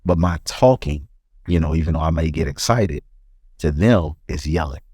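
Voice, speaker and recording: A middle-aged man with a deep voice, talking earnestly in a casual podcast conversation and often repeating words as he goes. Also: animated, moderate pace, warm tone, breathy edge, no room sound, studio quality. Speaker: podcast co-host.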